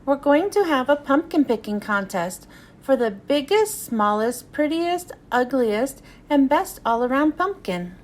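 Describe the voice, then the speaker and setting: A woman voicing a patient, kindly female schoolteacher in a children's storybook, calling out gently and evenly. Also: high voice, sing-song, measured pace.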